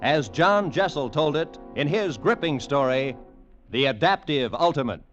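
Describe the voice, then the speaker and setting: Animated American male vintage radio announcer. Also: a little high, a little slow. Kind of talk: formal 1940s radio announcing. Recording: dull vintage radio recording. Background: instrumental music.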